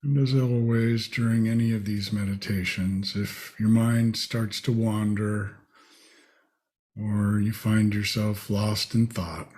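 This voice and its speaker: A man leading a guided meditation, speaking in short, spacious phrases with long pauses. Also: lowish voice, a little mellow.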